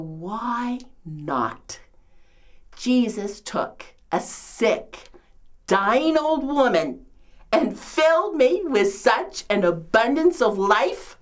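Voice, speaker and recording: A woman playing a complaining, martyred old mother-in-law from biblical times in a comedic monologue, with a New York accent and theatrical delivery. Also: mid-range voice. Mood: earnest, reverent. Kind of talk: comedic dramatic monologue, performed.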